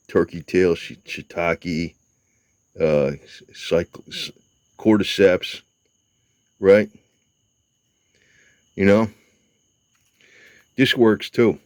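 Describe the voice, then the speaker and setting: A man's voice: deep voice, darkish tone, no echo. A man of seventy talking casually to camera, rambling with hesitations, fillers and the odd curse. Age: 70s. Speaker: motorcycle vlogger.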